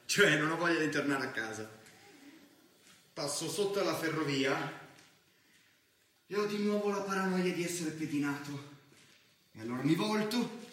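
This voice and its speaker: A man's voice: fairly high pitch, bright, forceful tone. An actor playing an anxious, streetwise teenage boy in an emphatic, colloquial theatrical monologue. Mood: uneasy, brooding. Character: anxious, restless teenage boy, streetwise student narrating his evening. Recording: echoey theatre stage from far off.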